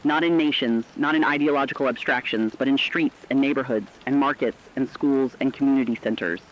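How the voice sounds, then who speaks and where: A man playing a solemn, compassionate radio broadcaster, delivering a scripted monologue earnestly and deliberately. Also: medium pitch, highs cut off, close to an everyday voice. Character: solemn, compassionate male broadcaster chronicling a collapsing world.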